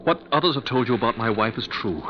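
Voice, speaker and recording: A man's voice: medium pitch, lively intonation, natural voice. Man acting an overwrought jealous painter, old noisy radio. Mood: anguished, bitter. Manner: halting, intense, repeats himself, volatile. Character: overwrought jealous male painter, obsessed with his wife. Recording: muffled, noisy 1940s radio recording.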